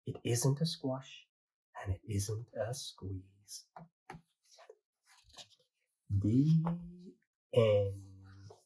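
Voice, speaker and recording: A reader narrating a rhyming children's picture book aloud with a rhythmic, expressive delivery. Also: medium pitch, drawn-out words, pauses often, slightly rough, poor audio. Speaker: storybook reader.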